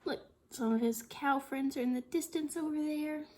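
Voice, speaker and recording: A woman reading a picture book aloud to young children as a storytime teacher, in an expressive sing-song manner with lots of questions. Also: sits high. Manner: sing-song, slow and deliberate, with exaggerated expression and frequent questions.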